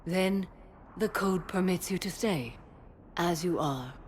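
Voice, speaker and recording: An actress playing a solemn, dutiful warrior mother in a video game, speaking formally and gravely in measured phrases. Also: on the low side, darkish tone, slight background noise. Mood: calm, dignified. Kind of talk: dramatic video game acting.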